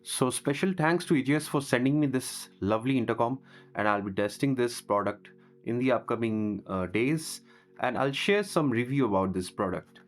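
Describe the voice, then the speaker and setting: A man's voice: mid-range voice, moderate pace. A man talking through a product unboxing as a vlogger, in a casual reviewing style. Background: music.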